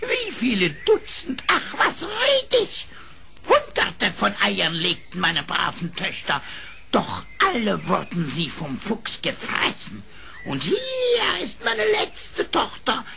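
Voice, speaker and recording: A grieving rooster father in a children's radio play. He laments tearfully in a high, creaky, wildly swinging voice on a noisy old record. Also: huge pitch swings, slow pace, exaggerated voice. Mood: grieving, pleading.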